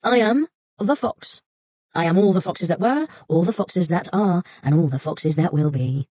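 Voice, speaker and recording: A woman's voice: fairly low pitch, expressive, moderate pace, warm tone, no echo. A woman voicing a sly fox spirit in a storybook, sweet and coaxing at first, haughty and stern later.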